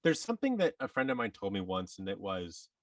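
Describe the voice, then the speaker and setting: Low-pitched man chatting, slightly off-mic. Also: wildly swooping pitch, fairly quick, no echo, distant mic. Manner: rambling, emphatic, often acts out hypothetical quotes. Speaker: podcast guest, actor. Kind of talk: casual interview talk giving advice.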